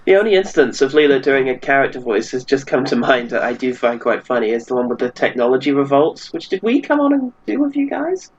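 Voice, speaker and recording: A man's voice: medium pitch, big pitch swings, a little fast, hardly any pauses, breathy edge. A man on a podcast panel, casually giving his critical take on an episode. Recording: dull lo-fi audio.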